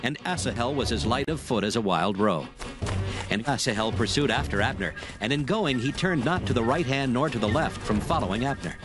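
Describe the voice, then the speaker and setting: Casting: male narrator, mid-pitched, solemn and measured, reading dramatized scripture with music underneath. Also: animated. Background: background music.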